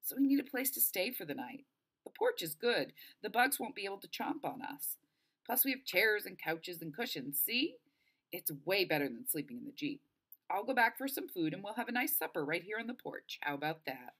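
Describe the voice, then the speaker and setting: A woman's voice: medium pitch, sing-song, normal speed, fairly bright tone, breathy edge, voice pushed up, full character voice. A woman reading a storybook as a cautious, practical teenage boy, earnest and reassuring.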